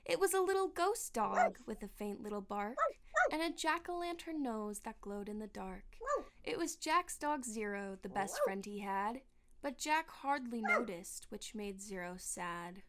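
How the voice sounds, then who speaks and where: A woman narrating a storybook in rhyming verse with expressive, theatrical storytelling. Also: mid-range voice, measured pace.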